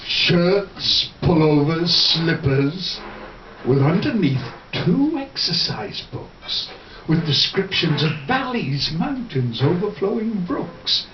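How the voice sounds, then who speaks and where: A man reading poetry live in a theatrical, deliberate way, recorded at a distance in a bar. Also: on the high side, very animated. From Wales. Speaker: poet. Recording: roomy, distant, muffled, faint noise.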